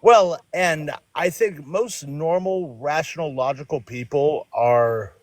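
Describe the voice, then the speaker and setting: Measured man in his forties. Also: a little high, no echo. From Florida. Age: 40s. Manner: measured, reasoned, stalling a little. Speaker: caller.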